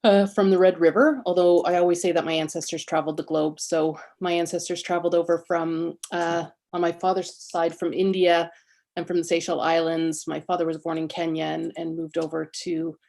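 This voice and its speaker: A middle-aged woman, an activist speaking as a panel guest and introducing herself, haltingly searching for words. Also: lowish voice, level tone, vocal fry, a little mellow. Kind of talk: personal introduction on an online panel.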